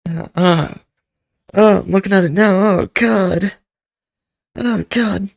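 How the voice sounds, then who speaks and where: A video host giving casual reaction commentary in an informal, expressive, sweary way. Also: mid-range voice, slow, vocal fry, no echo, studio quality.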